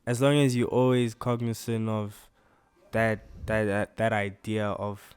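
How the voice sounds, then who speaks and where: A man's voice: on the low side, flat delivery, unhurried, darkish tone, smooth. A young man co-hosting a casual podcast chat, laid-back and full of slang, tagging lines with "you know" and "bro". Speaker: podcast co-host.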